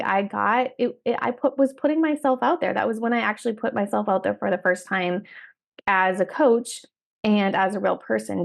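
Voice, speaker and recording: Woman podcast host, 30s, a little hoarse with a cold, gravelly edge, upbeat and direct. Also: mid-range voice. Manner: upbeat, direct coaching talk with restarts. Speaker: podcast host and career coach trainer. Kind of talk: solo podcast talk.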